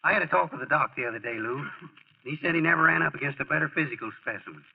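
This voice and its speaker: A man's voice: a little high, racing, gravelly, husky. An actor playing a folksy, slangy, protective male friend in a 1940s-style radio drama. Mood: breezy reassurance. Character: loyal sportswriter friend, folksy, reassuring, protective man. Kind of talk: 1940s radio acting, dialogue and narration. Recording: muffled old radio broadcast recording.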